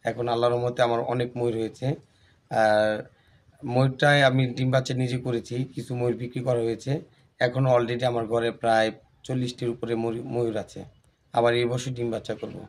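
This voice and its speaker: A man's voice: medium pitch, little pitch movement, brisk. A man with a Bangladeshi accent giving a plain interview account as a farmer. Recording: faint ambient location noise.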